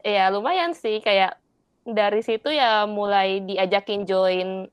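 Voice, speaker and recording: A woman's voice: medium pitch, takes it slowly, clear, resonant tone, dry recording. A young woman from Indonesia, chatting casually as a podcast guest, laughing often and mixing English words into her talk.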